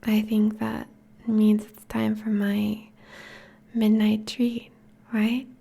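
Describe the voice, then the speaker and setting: A woman playing a possessive, teasing yet tender vampire girlfriend in an intimate, whispery ASMR roleplay, speaking softly and coaxingly. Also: mid-range voice, completely flat delivery, exaggerated voice. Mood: coaxing, hungry. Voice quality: breathy, whispery. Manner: slow, soft, coaxing.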